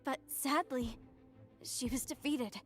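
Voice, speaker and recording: Game role: devout young woman, dramatic and zealous, extremely high pitch, soft music behind. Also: steady tone, slow. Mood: mournful. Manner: earnest, dramatic, declamatory. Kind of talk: video game voice acting.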